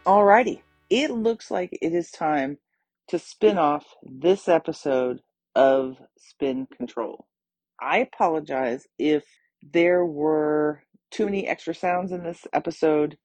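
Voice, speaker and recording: A tired woman with a hoarse, scratchy voice, hosting her own podcast in a chatty, upbeat way. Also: low voice, slow pace, a little mellow, no room sound, faint music behind. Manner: chatty, upbeat, with small laughs. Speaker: podcast host. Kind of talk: casual solo podcast talk.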